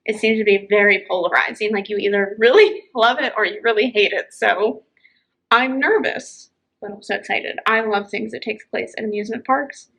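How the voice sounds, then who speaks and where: A woman's voice: medium pitch, moderate pace, gravelly, fairly bright tone, slightly rough, poor audio. A woman with a mid-range voice speaks as the host of a casual recommendation show. She is informal and enthusiastic, talking as if in an easy conversation. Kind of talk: casual book recommendation chat.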